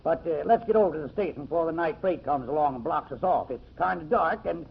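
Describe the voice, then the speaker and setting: An actor playing a folksy, talkative, excitable small-town storekeeper in an old-time radio mystery. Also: male voice, a little high, fast talker, gravelly, husky. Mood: folksy, chatty. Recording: muffled old radio recording.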